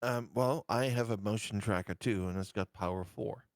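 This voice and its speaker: A man playing in a tabletop game, sounding unsure as he works out how the game software works. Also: on the low side, moderate pace, no echo. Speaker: player. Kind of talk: casual tabletop chat.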